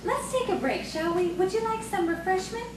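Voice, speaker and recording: A woman's voice: very high voice, lively intonation, moderate pace. An actress playing a sweet, coy young country belle in audio theatre, girlish and demure. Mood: playful. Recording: distant, echoey, noisy stage recording.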